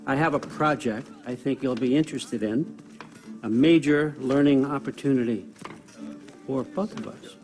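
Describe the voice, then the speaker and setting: A man's voice: medium pitch, normal speed, a little mellow, close to an everyday voice. An actor playing a sly, powerful older god-king in a video game, offhand and commanding. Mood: easygoing persuasion. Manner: offhand, wry, talking like a busy boss. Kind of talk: naturalistic video game cutscene acting. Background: background music.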